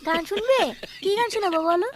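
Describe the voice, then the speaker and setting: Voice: extremely high pitch, quick pace, a little hiss or hum. A performer voicing a meek, dotty woman singer in an adult comedy cartoon, coy and hesitant.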